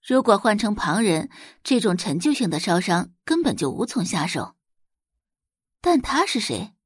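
A woman's voice: mid-range voice, big pitch swings, moderate pace, husky, no echo. A woman narrating a novel as an audiobook, in a dramatic scripted storytelling style.

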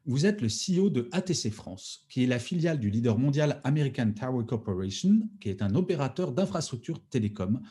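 A man's voice: medium pitch, warm tone, breathy edge. A man in his forties hosting a podcast interview, enthusiastic and a little theatrical. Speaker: podcast host.

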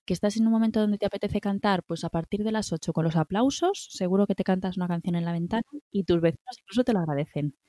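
A woman's voice: medium pitch, quick pace, few pauses, warm tone, no room sound, studio quality. A middle-aged woman with a Spanish accent from Spain, giving encouraging, reassuring self-care advice in conversation, often checking in with little tag questions. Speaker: wellbeing guest giving advice.

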